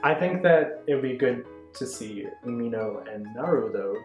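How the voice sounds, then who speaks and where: A woman's voice: very deep voice, expressive, normal speed, darkish tone. A young adult woman hosting a casual chat about anime. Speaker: host. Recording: echoey room, faint music underneath.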